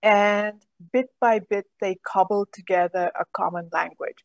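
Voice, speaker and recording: A middle-aged woman, a guest on a video call, explaining in a measured way. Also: mid-range voice, fairly even intonation, no echo. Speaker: guest, program director.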